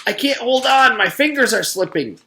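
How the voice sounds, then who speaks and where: A man's voice: very high voice, lively intonation, normal speed, pushed and bright, pitched above the performer's own voice, full character voice. A man voicing an earnest, overexcited schoolboy who begs and pleads in a storybook. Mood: panicked. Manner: begging, pleading.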